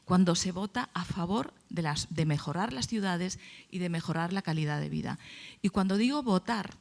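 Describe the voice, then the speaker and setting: Gravelly-voiced woman speaking on a panel. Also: lowish voice, lively intonation, normal speed. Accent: Spain Spanish. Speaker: panelist at a congress. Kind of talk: opinionated panel discussion talk.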